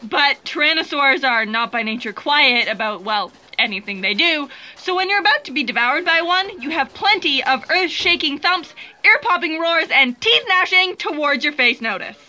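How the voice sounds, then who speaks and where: A woman's voice: high-pitched, animated, normal speed, sharp tone, full character voice. A woman playing an earnest, easily flustered fact checker, explaining things bookishly in comic sci-fi audio theatre. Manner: hesitant, then bookish and explanatory.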